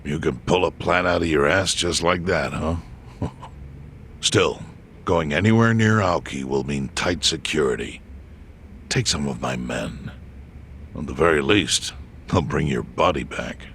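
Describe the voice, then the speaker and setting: An actor playing a grave, commanding older crime boss in a game dub, with a gravelly voice. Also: male voice, extremely low pitch, measured pace, fairly bright tone, a little hiss or hum, heavily acted. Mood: dry concern. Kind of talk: English game dub cutscene acting.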